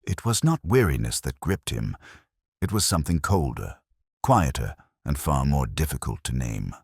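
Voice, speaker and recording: Male narrator, very low pitch, lively intonation, solemn measured storytelling, dry close sound. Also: husky, no room sound. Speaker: audiobook narrator. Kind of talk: scripted literary narration of a Victorian detective story.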